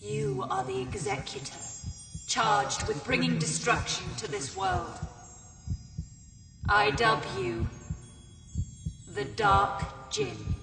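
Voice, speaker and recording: An actor voicing a solemn, commanding dark spirit in a fantasy game, intoning like an incantation through deep reverb. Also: sing-song, creaky, heavily acted. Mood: solemn, ominous. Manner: slow, incantatory. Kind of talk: dramatic fantasy game villain acting. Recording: distant, very echoey, music behind.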